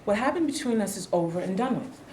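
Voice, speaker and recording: A woman's voice: medium pitch, lively intonation, fast talker, darkish tone, natural voice. A woman acts out a bold, confrontational wife in a stage play reading. She is blunt, scornful and will not back down. Her mid-range voice rings in an echoey room with faint noise. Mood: bitter, firm. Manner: challenging, blunt.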